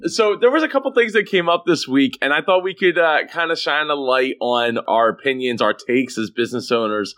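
A man hosts a casual business talk show with a very animated voice that rises and falls widely. He speaks in an exclamatory way, doubling up short phrases for emphasis. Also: medium pitch, a little fast, hardly any pauses. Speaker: podcast host.

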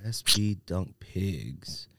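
Animated American man hosting casual banter. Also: sits low, extremely slow, creaky, dry recording. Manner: slangy, joking. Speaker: podcast host.

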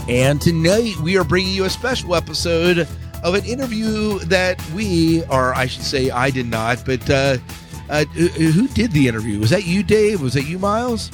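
A man's voice: a little high, lively intonation, normal speed, over music. A man hosting a podcast in a casual, chatty co-host style.

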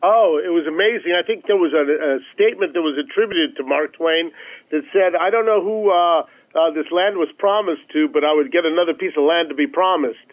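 A man with a fairly high voice speaks as an interview guest over a thin telephone connection. He makes his case emphatically, building it with repetition and rhetorical questions. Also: a little fast. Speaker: interview guest, nonprofit chief executive. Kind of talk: radio interview, persuasive storytelling. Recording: tinny, narrow-band telephone line.

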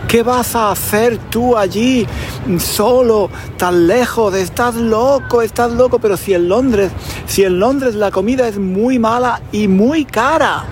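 Man putting on a high, fretting old Spanish aunt. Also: slow pace, a little hiss or hum. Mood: distressed, pleading. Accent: Spanish, from Spain. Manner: pleading, repeating phrases.

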